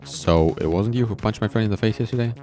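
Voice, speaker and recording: Young male thug, performed by a man reading aloud, low register, confrontational and cocky, game dialogue over music. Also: fast talker, mellow, close to an everyday voice. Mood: taunting, threatening. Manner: confrontational, taunting.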